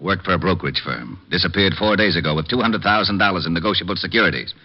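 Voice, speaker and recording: An actor playing a gruff, sarcastic male police lieutenant who grumbles through his lines, in a 1940s radio drama. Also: sits low, quick pace. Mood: urgent. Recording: dull vintage radio recording.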